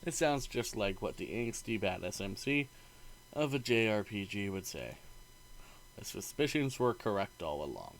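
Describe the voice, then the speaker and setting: A man reading a visual novel's narration aloud as a gaming host, expressive and informal. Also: fairly low pitch, normal speed, slight background noise, low-quality recording. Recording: faint game music behind.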